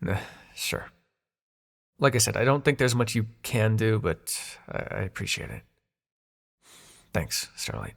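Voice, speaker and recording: Man playing a moody, expressive young dream god. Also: lowish voice, a little slow, vocal fry, long pauses, full character voice. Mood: hurt, vulnerable. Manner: modern slangy, sweary, sarcastic, emotionally expressive. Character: moody young male dream god, sarcastic, wounded, fond. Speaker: voice actor in audio roleplay. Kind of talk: intimate audio roleplay acting.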